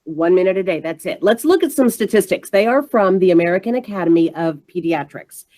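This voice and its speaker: A woman's voice: lowish voice, lively intonation, brisk, warm tone. A woman presenting an interactive online workshop for parents in an encouraging, emphatic way.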